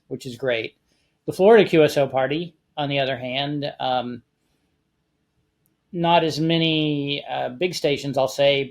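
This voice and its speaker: A man's voice: mid-range voice, darkish tone. American man explaining in casual conversation. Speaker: amateur radio contest guest expert.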